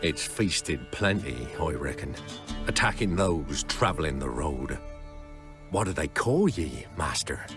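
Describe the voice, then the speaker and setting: An actor playing a plain-spoken, deferential country villager in a fantasy video game, talking in a folksy way. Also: male voice, very deep voice, expressive, measured pace, exaggerated voice. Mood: respectful. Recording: music behind the voice.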